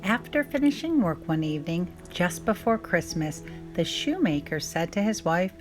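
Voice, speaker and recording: A woman's voice: on the low side, sing-song, normal speed, music in the background. A woman reading a storybook aloud for children as a scripted narrator. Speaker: storybook reader.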